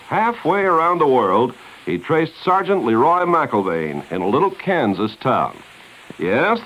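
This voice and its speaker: A male radio announcer narrates in a polished, earnest 1950s style. His intonation is very animated and dramatic. The old broadcast sounds dull, with faint hiss. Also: a little high.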